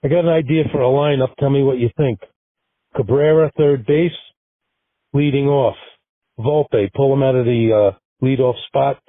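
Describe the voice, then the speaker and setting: A man leaving a voicemail for a show, reading out a list loudly and at a plodding pace. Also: medium pitch, moderate pace, no echo. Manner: listing items, loud and plodding. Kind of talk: voicemail message. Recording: tinny phone-line voicemail.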